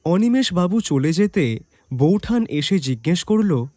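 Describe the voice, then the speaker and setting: A man narrating a detective story as the detective's companion, in an expressive dramatised scripted style. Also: high-pitched, measured pace, no room sound. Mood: reflective, atmospheric.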